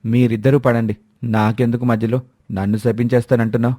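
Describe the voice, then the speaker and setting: A man's voice: medium pitch, level tone, fairly quick, dark tone, no room sound, soft background music, natural voice. A man voicing an exasperated young village farmer son in a cartoon story. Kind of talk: cartoon moral-story dialogue.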